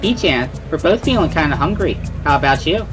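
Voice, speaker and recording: A man's voice: a little high, animated, brisk, voice pushed up, natural voice, rough recording. A man voicing a cheerful, chatty teenage schoolgirl in a dramatic reading of a visual novel, with a bubbly, friendly manner. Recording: music behind the voice.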